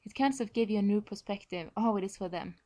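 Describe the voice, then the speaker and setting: A woman's voice: mid-range voice, quick pace, mellow. Norwegian-accented woman giving a presentation. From Norway. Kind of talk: prepared informational presentation.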